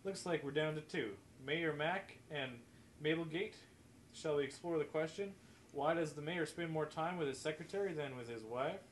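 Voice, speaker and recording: A man plays a bossy, nosy small-town newspaperwoman in a comic radio play. The voice sits a little high and is wry and brisk, with faint noise behind it. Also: natural voice. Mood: musing, gossipy.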